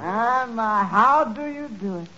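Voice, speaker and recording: A man's voice: extremely high pitch, animated, unhurried, bright, forceful tone, exaggerated voice. An actor playing a sardonic tavern waiter who needles his boss, in 1940s radio comedy style. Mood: teasing. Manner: wry, sardonic. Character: wry, deadpan male tavern waiter puncturing his boss. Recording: very noisy, muffled 1940s radio recording.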